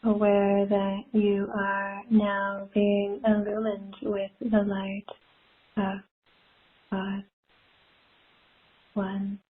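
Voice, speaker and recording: Phone-line woman leading a very slow meditation. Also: mid-range voice, monotone, slightly rough. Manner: soothing, gentle, with long pauses. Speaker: guided meditation leader. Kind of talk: guided meditation, spiritual. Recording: muffled phone-like live call audio.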